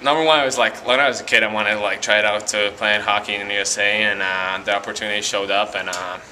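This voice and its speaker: A young man from Latvia with a Latvian accent, a college hockey player giving a halting post-game interview full of fillers and restarts in an arena hallway. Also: lowish voice, steady tone, pushed and bright, slight background noise, poor audio. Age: young adult.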